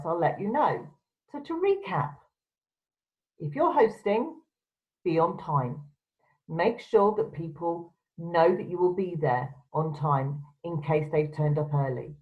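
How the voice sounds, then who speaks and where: A woman presenter giving instructional advice to camera in a firm, direct, emphatic way. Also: on the low side. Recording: dull, lo-fi sound.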